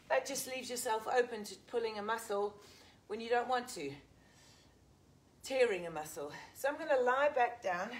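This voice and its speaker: A woman in her forties with an English accent, leading a live workout and counting reps while she exercises. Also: a little high. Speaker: fitness instructor. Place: large indoor gym. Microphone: fixed camera a few metres off.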